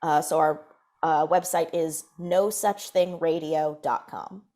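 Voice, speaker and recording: A woman's voice: low-pitched, fairly even intonation, slow, a little mellow. A woman speaking earnestly and emphatically as a panelist, giving advice in a discussion. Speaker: panelist, fiction podcast creator.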